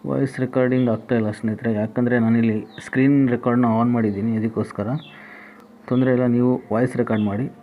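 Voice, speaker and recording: Male tutorial host, mid pitch, instructional and repetitive, narrow phone-like sound. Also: steady tone, fast. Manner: instructional, repeats each step. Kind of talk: step-by-step app tutorial voice-over. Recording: tinny phone-like band, faint noise.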